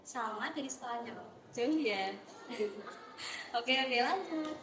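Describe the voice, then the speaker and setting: A woman's voice: high-pitched, expressive, drawn-out words, noisy background. A young woman, a counseling student, leading a group guidance session in a classroom, friendly and encouraging with many fillers. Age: young adult.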